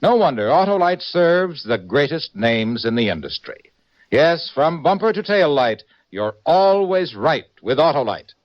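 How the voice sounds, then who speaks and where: A man delivering a polished, emphatic 1950s radio sponsor pitch as announcer. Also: very animated, a little slow. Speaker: commercial announcer. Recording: dull vintage radio recording.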